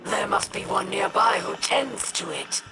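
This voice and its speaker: A woman voicing a stern, proud, vengeful alien noble in a video game, with a breathy hiss. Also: mid-range voice, a little slow, natural voice. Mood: disdainful. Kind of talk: video game character dialogue.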